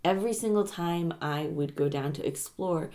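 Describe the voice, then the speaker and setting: Very low-pitched woman, dry and deliberate. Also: warm tone. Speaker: podcast co-host. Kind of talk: casual chat, anecdote telling.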